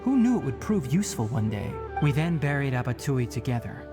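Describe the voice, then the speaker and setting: A man's voice: mid-range voice, very animated, a little fast, close to an everyday voice. An actor playing a young male forest ranger and scholar in a video game, with precise, matter-of-fact, slightly exasperated delivery. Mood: explaining, earnest. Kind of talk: scripted video game character dialogue. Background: background music.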